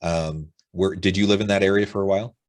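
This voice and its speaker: An American man with a low voice works as an interview host on a professional webinar. He sounds upbeat and enthusiastic, moving between prepared introductions and conversational questions. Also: steady tone, fast talker. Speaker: podcast host. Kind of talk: webinar interview hosting, partly reading prepared notes.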